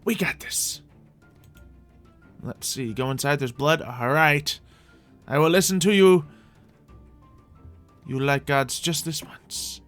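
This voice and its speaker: A man's voice: on the high side, slow, long pauses, a bit bright. A man hosting a gaming roleplay video, giving playful, theatrical commentary as he talks to himself and his viewers. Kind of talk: in-character gameplay commentary. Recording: music behind the voice. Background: music.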